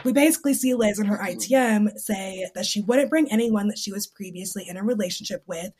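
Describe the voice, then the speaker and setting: A woman's voice: medium pitch, a little fast, darkish tone, slight background noise. A woman hosting a podcast, recapping reality TV in a casual, gossipy, sweary and explanatory way. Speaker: podcast host.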